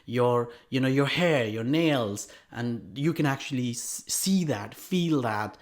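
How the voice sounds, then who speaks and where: A man speaking as a guest expert, explaining things conversationally in a halting way with repeated words. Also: mid-range voice, lively intonation. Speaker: guest expert, Ayurvedic doctor.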